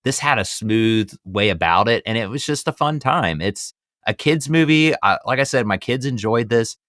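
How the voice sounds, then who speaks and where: A man's voice: medium pitch, expressive, normal speed, a bit bright, dry recording, clean studio sound. A man in his thirties hosting a podcast, chatting casually about films in a rambling, emphatic way. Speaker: podcast host. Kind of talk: casual film review chat.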